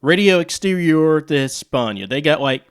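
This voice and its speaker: A man hosting a casual audio gear demo, explaining things piece by piece in short, halting phrases. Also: fairly high pitch, expressive, smooth. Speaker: YouTube host presenting radio and audio gear.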